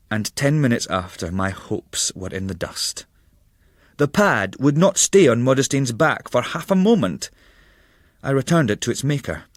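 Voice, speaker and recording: A man narrating a literary audiobook in a measured, wry storytelling manner with formal diction. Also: on the low side, no room sound, studio quality.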